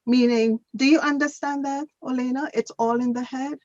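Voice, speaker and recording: A woman's voice: high voice, steady tone, normal speed, darkish tone, no echo, lo-fi sound. A woman working as an English teacher, tutoring in a patient, conversational way and repeating phrases to explain.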